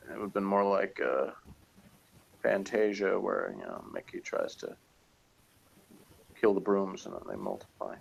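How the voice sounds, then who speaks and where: A man's voice: low-pitched, sing-song, unhurried, creaky, pauses often, a little hiss or hum, poor audio. A man reviewing a TV episode in casual, rambling, jokey chat, often chuckling. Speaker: reviewer on a TV show review channel.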